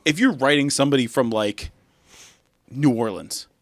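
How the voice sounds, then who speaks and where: A man hosting a podcast, giving his opinions in measured, casual group chat. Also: mid-range voice, dry recording. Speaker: podcast host steering the discussion.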